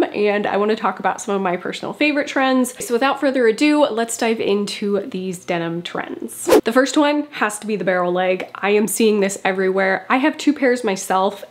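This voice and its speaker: A woman in her thirties, congested and nasal from a cold, chatting enthusiastically to camera as a fashion vlogger in a small room. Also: medium pitch. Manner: chatty and enthusiastic, many "like" fillers. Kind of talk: casual talk to camera. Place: small bedroom indoors.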